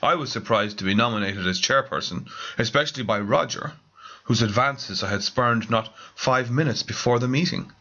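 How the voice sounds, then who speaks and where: Bright-voiced man narrating a comic story. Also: mid-range voice, normal speed, muffled recording. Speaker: author reading own story.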